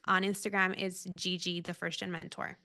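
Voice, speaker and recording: A woman's voice: on the low side, normal speed. A woman from the United States, a guest author, talking in casual interview chat with many fillers. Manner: bright, animated, uses fillers like "like" and "um".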